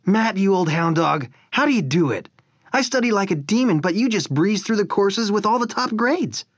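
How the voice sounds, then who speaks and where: A woman voicing an eager young male college buddy who talks in an excited, gushing way. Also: moderate pace, dry recording, dull audio, natural voice. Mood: joshing. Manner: excited, gushing, high and bouncy.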